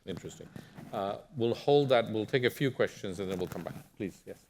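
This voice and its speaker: A man moderating a conference panel, giving brisk, short prompts to speakers. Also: on the low side, expressive, darkish tone, slight background noise. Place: conference hall.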